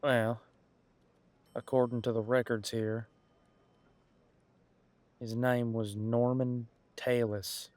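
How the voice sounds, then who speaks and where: A man's voice: mid-range voice, level tone, takes it slowly, pauses often, darkish tone, no room sound, close to an everyday voice. A man playing a casual, wry police detective in an audio roleplay, musing in a conversational, hesitant way.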